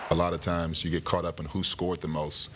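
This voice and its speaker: Very deep, even-voiced male coach. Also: fairly quick, slight background noise. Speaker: college basketball coach. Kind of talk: interview soundbite.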